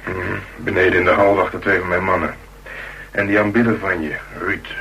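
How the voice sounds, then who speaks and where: Deep, laconic tough guy. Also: fairly even intonation, a little fast, pushed and bright. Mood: dry teasing. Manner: laconic, dry, teasing. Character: cool, teasing, protective tough male ally. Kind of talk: radio drama acting. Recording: distant, noisy old radio recording.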